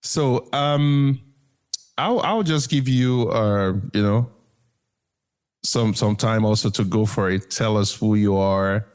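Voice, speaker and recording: A man co-hosting a podcast, talking slowly and casually, often repeating and affirming. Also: on the low side, a little mellow, smooth. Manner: slow, affirming, repeats his words. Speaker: podcast co-host. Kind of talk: casual chat.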